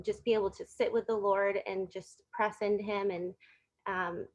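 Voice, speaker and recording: A young American woman giving a casual, conversational testimonial on slightly muffled, lo-fi audio. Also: mid-range voice. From Florida, United States.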